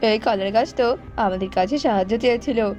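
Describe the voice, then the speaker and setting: A woman's voice: fairly high pitch, fairly quick, a little mellow, faint music behind, voice pushed down, close to an everyday voice. A woman voicing a greedy, envious bird bully with a demanding, menacing manner in a children's cartoon. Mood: threatening.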